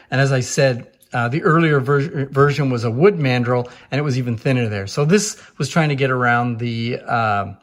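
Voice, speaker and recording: A man giving an informal, unscripted demonstration as a collector, explaining things in a plain-spoken way to viewers. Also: mid-range voice. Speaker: antique phonograph collector and presenter. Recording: echoey, roomy sound.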